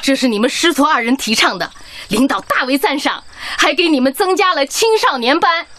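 An actress playing a cheerful, warm-hearted head nurse in a radio drama, exclamatory, brisk and affectionate. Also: female voice, sits high, lively intonation. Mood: proud. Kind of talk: Chinese radio drama acting. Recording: loud music and crowd behind.

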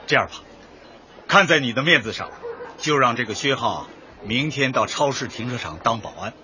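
Male store boss, very sharp bright voice, polite but businesslike, radio drama, slightly noisy. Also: medium pitch, animated, moderate pace. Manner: effusively polite, chuckling, businesslike. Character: busy, obliging male supermarket boss, apologetic yet businesslike. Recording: dull band-limited audio, faint noise.

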